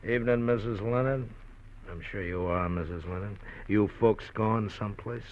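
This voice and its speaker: Brief: man as a menacing 1950s radio-play gangster, low smug threats, muffled old broadcast. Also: moderate pace, close to an everyday voice. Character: menacing male small-time gangster, middle-aged. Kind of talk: 1950s radio drama acting.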